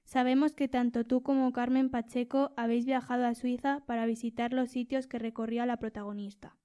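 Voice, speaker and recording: A woman interviewer with an accent from Spain reads prepared questions. Her voice is high and mellow, with very little rise and fall. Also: little pitch movement, a little fast, clear, resonant tone, lo-fi sound. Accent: Spanish from Spain.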